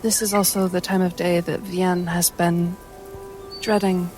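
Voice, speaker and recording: A woman playing a tabletop game, narrating her character dramatically in a measured, solemn way over faint music. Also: on the low side, flat delivery, a little mellow.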